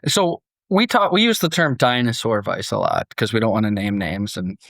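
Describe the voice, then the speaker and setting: A man's voice: lowish voice, expressive, moderate pace, creaky, fairly bright tone, no echo, studio quality. A man hosting a podcast, chatting casually and narrating a scripted story in a conversational way.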